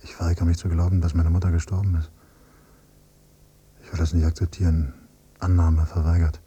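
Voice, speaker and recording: Low, muted, bitter grieving son played by a man. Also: level tone, a little fast, dark tone, lightly acted. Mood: numb, sombre. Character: grieving adult son, quietly bitter and probing. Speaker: first-person narrator and character. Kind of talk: literary radio drama monologue and dialogue. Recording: noisy hummy cassette copy of radio play.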